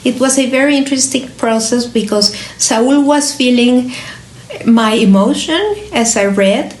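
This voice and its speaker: A woman author reflectively presenting her own book, speaking in an earnest, deliberate, measured manner. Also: high-pitched, slight background noise. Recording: roomy echo, music behind.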